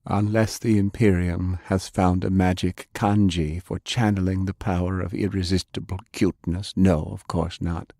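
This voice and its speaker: A man voicing a principled, weary older gentleman in an audiobook, speaking in a measured, disapproving manner. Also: sits low, flat delivery, vocal fry, no echo, studio quality. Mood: earnest, dry. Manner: measured, reproving. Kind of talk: audiobook character acting.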